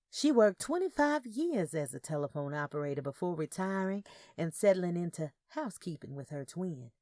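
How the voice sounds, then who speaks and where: Low-voiced woman narrating fiction. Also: mellow, no room sound, studio quality. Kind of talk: scripted first-person story narration.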